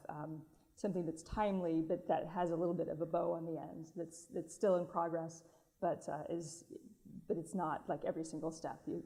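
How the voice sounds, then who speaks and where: Brief: female editor, lowish mellow voice, relaxed panel talk. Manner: casual, thinking aloud with fillers. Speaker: magazine science editor, panelist. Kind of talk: panel discussion.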